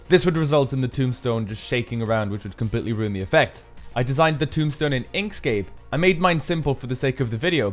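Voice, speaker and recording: A man giving an upbeat, explanatory voice-over for a build tutorial, as the maker himself. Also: medium pitch. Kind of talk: scripted tutorial narration.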